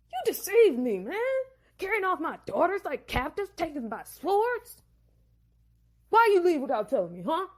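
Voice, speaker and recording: A woman doing a ranting, high-pitched angry father-in-law. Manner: slangy, ranting, possessive. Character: angry scheming older man, a father-in-law.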